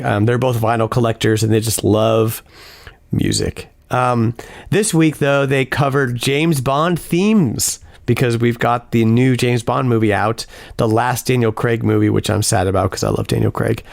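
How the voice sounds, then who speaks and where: A man hosting a casual podcast, upbeat and chatty, with a hoarse voice tonight. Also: medium pitch, dry recording. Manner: upbeat, chatty announcer delivery. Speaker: podcast host.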